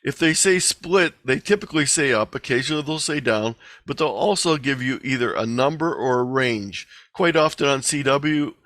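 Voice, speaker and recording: A man presents an instructional online talk in a medium-pitched voice. He explains things plainly, one step after another, as if guiding learners through slides. The video-call sound is dry, with very little room around the voice. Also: fairly bright tone, dry recording. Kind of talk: explanatory slide lecture, lightly extemporized. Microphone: video call microphone.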